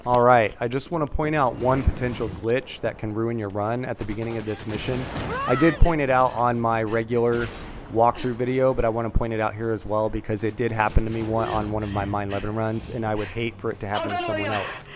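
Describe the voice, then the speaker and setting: A man's voice: on the low side, fairly even intonation, a little fast, noisy background. A man narrating a video game walkthrough in a casual, explanatory way, carefully cautioning as he goes.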